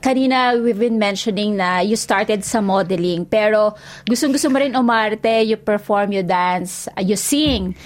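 A woman's voice: mid-range voice, moderate pace. A woman host interviewing a mother and daughter, switching freely between Tagalog and English with short encouraging murmurs. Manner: mixes Tagalog and English, friendly prompting. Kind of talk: casual bilingual interview.

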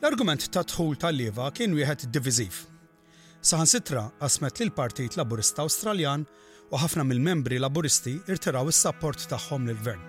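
A man narrating a documentary in a steady, informative scripted read. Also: on the high side, a little fast, faint music behind.

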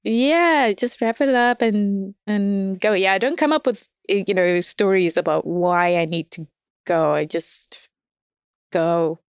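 A woman's voice: mid-range voice, slow, clear, resonant tone, no room sound, studio quality. Candid, often-laughing woman guest. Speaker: podcast guest. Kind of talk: casual dating chat.

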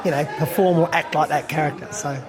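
A man's voice: a little high, fairly even intonation, fairly quick, a crowd in the background. A man in his fifties, a band musician, chatting casually and rambling with lots of fillers and laughs. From Australia. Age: 50s. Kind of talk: casual interview chat. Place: busy beer hall restaurant.

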